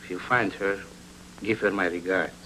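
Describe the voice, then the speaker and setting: A man's voice: deep voice, fairly even intonation, normal speed, sharp tone. An actor playing a courteous, droll man in an old film noir drama. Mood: wry, courteous. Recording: humming, noisy old film soundtrack.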